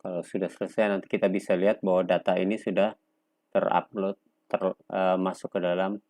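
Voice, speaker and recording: A man presenting an unscripted software tutorial step by step, speaking in a halting, instructional way with pauses between short phrases. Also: sits low, level tone, no echo. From Indonesia. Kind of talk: unscripted step-by-step screen demonstration.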